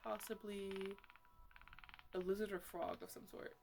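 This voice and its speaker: A woman playing a chatty, easily exasperated botanist who mothers her plants in an improvised ASMR roleplay, talking in a halting, casual, playfully scolding ramble. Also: medium pitch, flat delivery, vocal fry, noisy background. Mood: playfully indignant.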